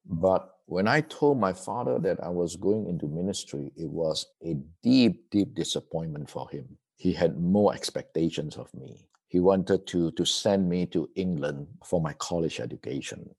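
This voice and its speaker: Low-voiced Malaysian-raised man, reflective. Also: moderate pace, few pauses, darkish tone, breathy edge. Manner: thoughtful, repeats words as he speaks. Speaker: seminary president, guest. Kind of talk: interview, personal story.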